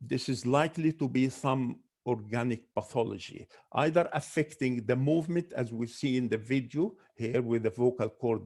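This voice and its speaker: Brief: male ENT doctor lecturing a webinar, mid-pitched, steady, methodical, with small restarts. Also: normal speed, few pauses. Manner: careful, listing symptoms one by one with frequent restarts. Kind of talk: medical lecture.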